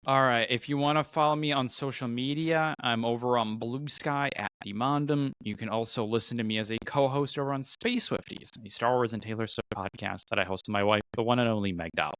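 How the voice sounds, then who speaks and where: A man's voice: mid-range voice, fairly quick, few pauses, fairly bright tone, smooth, dry recording. A man chatting casually as a guest on a podcast. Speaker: podcast guest and co-host of another show. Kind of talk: casual chat.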